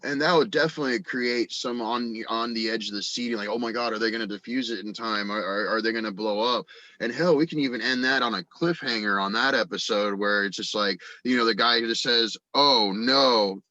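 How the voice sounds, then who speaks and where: A man chatting casually as a podcast guest, rambling excitedly as he tosses around creative ideas. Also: mid-range voice, a little fast, no echo, muffled recording. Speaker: podcast guest, audio drama writer and actor. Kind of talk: casual chat, brainstorming story ideas.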